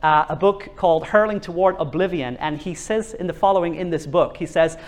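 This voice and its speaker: A man's voice: on the high side, fairly quick. A man preaches a sermon in an earnest, urgent way. He often repeats words as he builds momentum. He turns to his listeners with questions to draw them in. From Norway.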